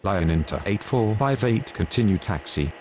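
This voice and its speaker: Guy doing a very low voiced airport radio operator in a sim game, clipped and procedural, bit of noise behind. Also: animated, moderate pace, darkish tone, lightly acted. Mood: businesslike. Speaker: pilot and air traffic controller radio voice. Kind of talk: scripted aviation radio phraseology.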